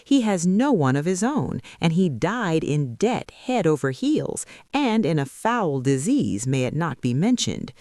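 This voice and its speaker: A woman's voice: sits low, big pitch swings, unhurried, hardly any pauses, dark tone, no echo, clean studio sound. A woman storyteller voicing callous townspeople in a folk tale, dismissive and matter-of-fact. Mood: scornful. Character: callous, scornful townspeople speaking as one.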